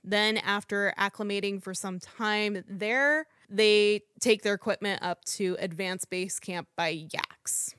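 A woman's voice: medium pitch, steady tone, slow, few pauses, a bit bright, smooth, no room sound, studio quality. Woman reading a true crime script. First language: English. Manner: apologetic about pronouncing foreign names. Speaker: podcast host.

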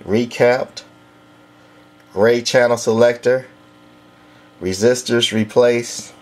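A man's voice: medium pitch, fairly even intonation, slow pace, a little mellow. A man casually demonstrating a vintage radio in his workshop, matter-of-fact and repeating test words into the mic. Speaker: radio collector demonstrating equipment. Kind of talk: casual hands-on demo narration.

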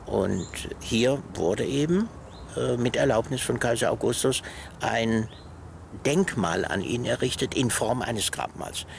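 A man's voice: mid-range voice, unhurried, creaky. A man explaining a monument unscripted as an expert guide, in a noisy location recording.

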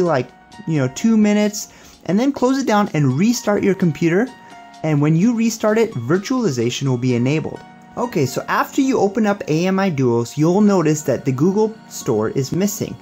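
A man's voice: fairly high pitch, darkish tone. A young man giving a casual, upbeat hands-on tech demo and tutorial in a conversational, explanatory way. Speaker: tech reviewer and presenter.

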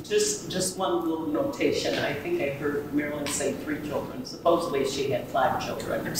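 A woman's voice: sits low, fairly even intonation, moderate pace. A middle-aged woman giving earnest remarks to an audience after a readers theater performance, with many fillers. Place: large indoor museum room. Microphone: far camera. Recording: echoey room from far off, noisy.

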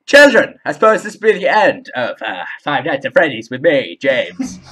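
Animated, husky young man gaming. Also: a little high, normal speed. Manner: dramatic, exclaiming, complaining. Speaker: video game player and host. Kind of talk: horror game let's play commentary. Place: indoor room at a computer, on webcam.

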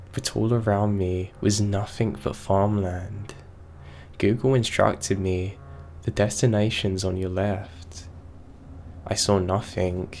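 A man reading a first-person horror story aloud, sounding unwell, with his voice a bit off. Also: fairly low pitch. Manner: slow, steady storytelling delivery. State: unwell, voice affected.

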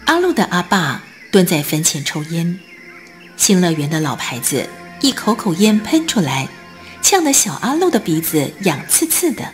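A woman narrating a radio drama as scripted storytelling, with expressive, deliberate phrasing. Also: fairly low pitch, soft background music.

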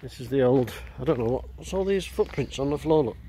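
A man giving casual walkaround commentary as a vlogger, halting and thinking aloud as he goes. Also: medium pitch, expressive, mellow, low-quality recording. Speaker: vlogger exploring an abandoned building. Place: outdoors, walking around a building. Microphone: handheld camera mic. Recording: outdoor ambient noise.

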